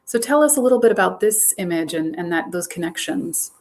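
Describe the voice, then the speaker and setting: A woman's voice: lowish voice, animated, a little fast. Curator host: woman, encouraging, easy questions with a few ums. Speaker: curator and interviewer. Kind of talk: museum talk introduction and interview.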